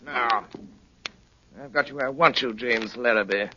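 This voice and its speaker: A man's voice: mid-range voice, normal speed, bright, forceful tone. An actor playing a shrewd, courteous but needling detective in 1930s radio drama style, urbane, dry and precise in his speech. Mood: confident. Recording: muffled, noisy 1938 radio broadcast.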